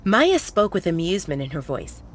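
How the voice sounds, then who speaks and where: A woman's voice: fairly low pitch, big pitch swings, moderate pace, faint music behind. Woman narrating a werewolf romance audiobook.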